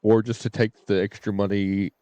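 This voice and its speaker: A man's voice: lowish voice, flat delivery, moderate pace, warm tone, clean tone, no echo. A man chatting casually on a livestream, informal and rambling, with repeated filler phrases and some cursing. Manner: informal, mildly cursing, repetitive "you know". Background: faint game music and dialogue.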